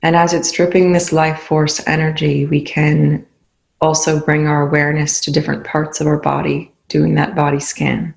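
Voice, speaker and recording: A meditation guide leading a session in a soothing, unhurried manner with long pauses between phrases. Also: medium pitch, little pitch movement, vocal fry. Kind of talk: guided meditation, spoken slowly.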